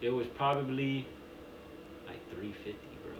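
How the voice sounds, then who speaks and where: A young man talks through his stories at an easy pace, loose and full of slang, his sentences padded with plenty of fillers. He sounds set back from the mic in an echoing room, with steady noise underneath. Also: medium pitch, a little slow, lo-fi sound. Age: 20s. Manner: relaxed storytelling, slangy, many fillers. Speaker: podcast guest. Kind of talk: casual conversation. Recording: echoey room, distant mic, noisy.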